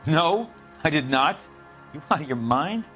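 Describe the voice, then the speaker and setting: Slangy repairman under interrogation; evasive, indignant, mid-pitched with lively swings, video game drama, faint music behind. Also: a little slow. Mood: defiant. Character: evasive, defensive working-class repairman suspect, male.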